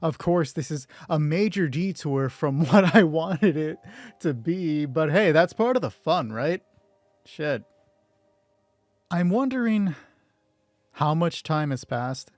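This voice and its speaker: A man's voice: medium pitch, a little mellow, no room sound. A man hosting a solo roleplay show in casual, rambling, self-deprecating commentary, swearing offhandedly. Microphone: close mic with gain set high.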